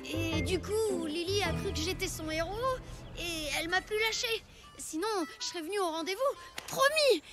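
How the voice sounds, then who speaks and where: A woman voicing an earnest, excitable young prehistoric boy in a French cartoon dub. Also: extremely high pitch, moderate pace. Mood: hesitant. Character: kind, clumsy young prehistoric boy with a pet dinosaur. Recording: music bed under the voice.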